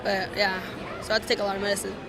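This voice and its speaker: A speaker talking plainly at a busy outdoor event. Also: male voice, high-pitched, flat delivery, rapid-fire, creaky. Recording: outdoors with crowd and music behind.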